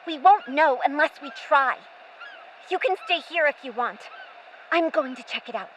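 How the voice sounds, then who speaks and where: A woman's voice: high voice, animated, normal speed, a bit bright, slight background noise, low-quality recording. A woman playing a bold, trusting young girl adventurer in an audio drama, eager and headstrong. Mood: stubborn.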